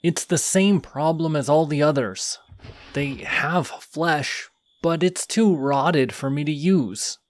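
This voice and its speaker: A man's voice: on the high side, takes it slowly. A man voicing a friendly, chatty male skeleton who rambles sheepishly in an audio roleplay. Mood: grumbling. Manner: rambling, sheepish, talks to himself.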